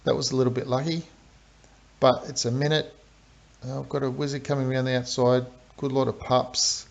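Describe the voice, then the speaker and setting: An Australian man talks through a live game stream, explaining his moves step by step in a relaxed, chatty way. His voice has a gravelly edge and sits at an ordinary pitch. The audio sounds dull and lo-fi. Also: moderate pace.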